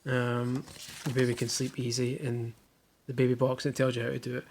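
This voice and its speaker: A young Scottish man talks casually from his living room, dropping dry jokes as he goes. His voice has a gravelly rasp, and faint noise hangs behind him. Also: medium pitch, completely flat delivery, a little fast, a little mellow. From Scotland. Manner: dry jokey asides. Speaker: vlogger unboxing a baby box. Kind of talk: casual chat.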